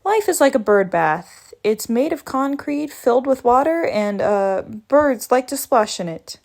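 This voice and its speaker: A woman's voice: high voice, big pitch swings, measured pace, gravelly, full character voice. A woman reading aloud as a lazy, sarcastic male cartoon cat, with dry, wisecracking, grumbling lines. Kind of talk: storybook read-aloud.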